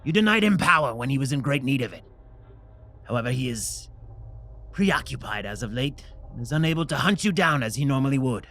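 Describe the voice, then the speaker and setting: A man playing an older herald of a dark god in a fantasy audio drama, solemn, formal and commanding in manner. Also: medium pitch, lively intonation, a little fast, close to an everyday voice. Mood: stern, solemn. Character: older male herald of a dark god, stern yet merciful.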